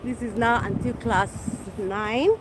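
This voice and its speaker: A Kenyan-born woman in her forties, Kikuyu her first language, talks slowly and chattily as she strolls. Her voice sits a little high for a woman. She speaks into a phone outdoors, with loud wind and traffic noise all around. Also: steady tone. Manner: unhurried, chatty, frequent restarts. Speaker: livestream host. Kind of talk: casual walking livestream. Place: outdoors on a winter street.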